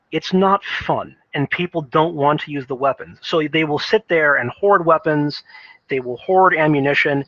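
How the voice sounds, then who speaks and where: A man giving an unscripted opinion monologue about video games, thinking aloud in a halting way and repeating words as he goes. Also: on the high side, fairly bright tone, dry recording, highs cut off. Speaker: gaming commentator.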